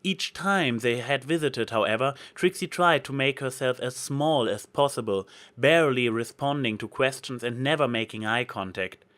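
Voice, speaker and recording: A man narrates a piece of fantasy fiction. His voice sits at an average male pitch. He reads in a dramatic audiobook style, as a storyteller. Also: moderate pace, hardly any pauses, fairly bright tone.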